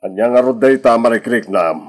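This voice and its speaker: A man's voice: mid-range voice, quick pace, darkish tone, lightly acted. Stern father in a radio drama played by a man. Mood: concerned. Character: stern, concerned father of a grown daughter. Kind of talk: Ilocano radio drama acting. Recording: old radio recording, faint music.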